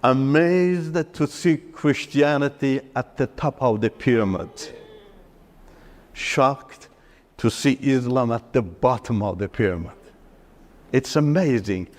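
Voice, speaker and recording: A middle-aged man from Iran, a Turkish speaker, giving an earnest personal faith testimony in halting, broken-up phrases. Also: mid-range voice, lively intonation. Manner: earnest, halting, breaks up sentences with pauses and restarts. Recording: long hall reverb.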